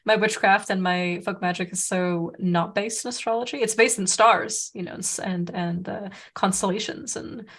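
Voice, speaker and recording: A woman's voice: medium pitch, steady tone, moderate pace, hardly any pauses, fairly bright tone. A woman whose first language is Serbo-Croatian, co-hosting a casual podcast, talking in a chatty way with many fillers and restarts. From Serbia. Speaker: podcast co-host.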